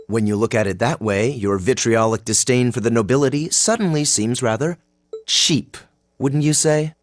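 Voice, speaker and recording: An actor playing a haughty young noble student in a video game, with a measured, coolly sardonic and disdainful delivery. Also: male voice, medium pitch, lightly acted. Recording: music behind the voice.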